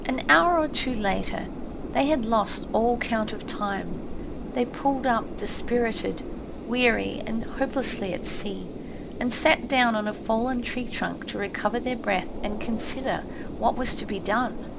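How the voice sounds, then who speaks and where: Female audiobook narrator, expressive storytelling, fairly high voice with creak, noisy effects behind. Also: a little slow. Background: ambient sound effects.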